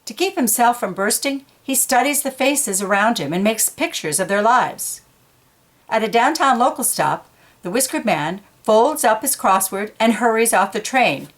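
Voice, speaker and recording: A woman's voice: fairly high pitch, expressive, moderate pace. Woman reading a picture book aloud. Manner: explains pictures in informal asides between read lines. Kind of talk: read-aloud storytelling with conversational asides to children.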